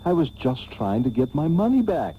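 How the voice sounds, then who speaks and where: A man's voice: on the high side, very animated, moderate pace. A man playing a desperate, innocent prisoner pleading melodramatically in a comedic radio serial. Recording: dull, faintly noisy radio tape.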